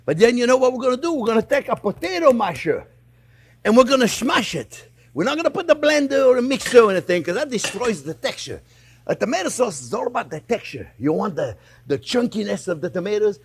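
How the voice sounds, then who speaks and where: Chatty French chef, lively husky voice. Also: male voice, extremely high pitch, brisk. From France. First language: Italian. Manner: chatty, enthusiastic, addresses viewers as friends. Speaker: chef host. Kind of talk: cooking demonstration. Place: home kitchen.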